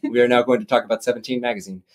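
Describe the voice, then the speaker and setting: A man co-hosting a podcast, chatting casually and at length about a film, with lots of fillers and restarts. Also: very fast. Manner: animated, rambling, mimics voices with big pitch jumps. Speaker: podcast co-host. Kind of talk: casual movie discussion.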